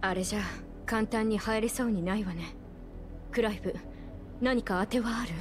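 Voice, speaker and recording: An actress playing an earnest, compassionate young woman in a video game, serious and soft-hearted in delivery. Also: medium pitch, moderate pace, music in the background, close to an everyday voice. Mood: thoughtful. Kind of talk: dramatic video game acting.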